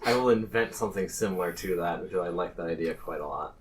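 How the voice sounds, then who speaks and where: A man acting as game master, describing scenes in an improvised tabletop game with halting, deliberate phrasing. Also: sits low, background noise.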